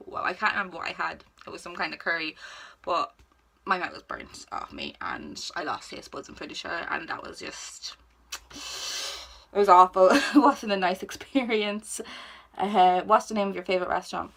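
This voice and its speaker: A woman's voice: medium pitch, gravelly, fairly bright tone. A woman aged twenty-one with an Irish accent, chatting casually and informally as she answers questions for a vlog. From Ireland. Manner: chatty, informal, self-deprecating, exclamatory. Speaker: vlogger. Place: indoors at home, sitting on the floor.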